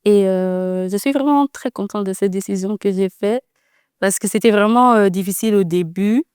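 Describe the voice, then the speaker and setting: A young woman in her twenties with a Dutch accent talks as an interview guest. Her voice is smooth and clean, close to the mic in a dry room. She hesitates often and fills gaps with soft "euh" sounds. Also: medium pitch, normal speed, no room sound. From Netherlands. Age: 20s. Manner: hesitant, many "euh" fillers, soft laughs. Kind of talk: casual interview about faith.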